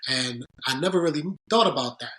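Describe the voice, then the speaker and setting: A man's voice: mid-range voice, bright, forceful tone, rough recording. A man being interviewed on a podcast about his career as a sports agent, speaking earnestly and thoughtfully, often restarting his sentences. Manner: earnest, halting, frequent restarts. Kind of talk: reflective interview storytelling.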